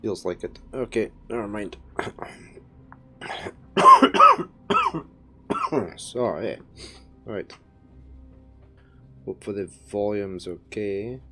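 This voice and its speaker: A man streaming a puzzle game, chatting and thinking aloud, his voice croaky from a head cold. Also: fairly low pitch, huge pitch swings, very slow, long pauses, pushed and bright, soft background music. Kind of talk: casual live-stream commentary.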